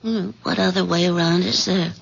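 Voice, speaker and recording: Brazen seductive mistress, American, coaxing, casually ruthless, gravelly fry, muffled 1970s radio play. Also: female voice, fairly low pitch, steady tone, moderate pace, exaggerated voice. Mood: persuasive. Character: scheming, seductive, brazen mistress coaxing her lover into crime. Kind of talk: 1970s radio drama acting.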